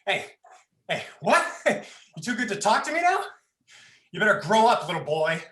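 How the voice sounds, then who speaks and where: A man's voice: high-pitched, sing-song, moderate pace, a bit bright. A man playing a volatile, foul-mouthed young man who bullies his younger brother in a dramatized script reading, taunting and menacing. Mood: aggressive mocking. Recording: roomy livestream sound.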